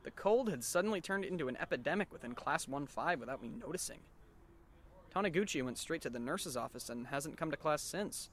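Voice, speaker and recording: A man's voice: a little high, expressive, fairly quick, a bit bright. A man playing a sardonic, bewildered teenage schoolboy who narrates an anime fandub with dry incredulity. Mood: dry disbelief. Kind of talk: anime fandub, inner monologue and dialogue.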